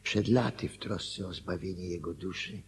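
An actor playing a self-deceiving husband in a Polish radio drama, thinking aloud, hesitant and anxious to justify himself. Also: male voice, low voice, moderate pace, slightly rough, exaggerated voice. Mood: musing, hopeful.

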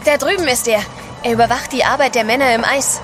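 Lady as worried refined wife, highish earnest voice, radio drama, music under it. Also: fast, close to an everyday voice. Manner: concerned, earnest. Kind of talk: German audio drama acting.

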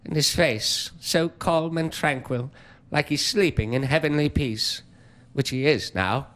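A man's voice: on the high side, a little slow, a bit bright. A man playing a frightened old miser, pleading theatrically with a spirit in stammering, exclamatory speech. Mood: sorrowful, pleading. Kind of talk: dramatic stage-style monologue.

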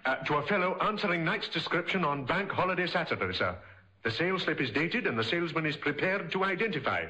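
A man's voice: fairly high pitch, expressive, quick pace, bright, forceful tone, rough recording. A man playing a deferential, dutiful police sergeant in an old radio crime drama. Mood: dutiful, businesslike. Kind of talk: mid-century radio crime drama acting.

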